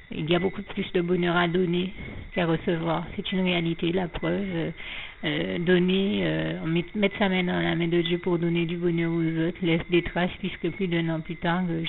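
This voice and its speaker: Low-voiced woman on a tinny line. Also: level tone, moderate pace, vocal fry, a little hiss or hum. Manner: hesitant, many fillers and restarts, earnest. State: slight frog in the throat. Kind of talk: anonymous spoken faith testimony.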